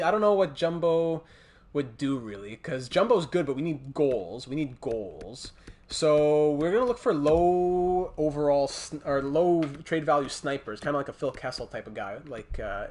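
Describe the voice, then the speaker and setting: A man with a Montreal accent chatting casually on a gaming livestream, thinking aloud in a halting way as he plays and replies to viewers. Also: a little high, expressive, darkish tone. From Montreal, Canada. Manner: thinking aloud, halting, breaking off to reply to chat. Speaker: livestreamer hosting a hockey video game stream. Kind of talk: casual livestream chat with game commentary.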